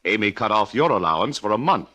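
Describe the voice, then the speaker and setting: A man's voice: on the low side, sing-song, fast, sharp tone, highs cut off, full character voice. An actor playing a bitter, sardonic uncle in an old radio drama, mock-polite and pointed in his formal speech. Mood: sardonic, needling. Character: bitter, sardonic uncle of a decaying wealthy family.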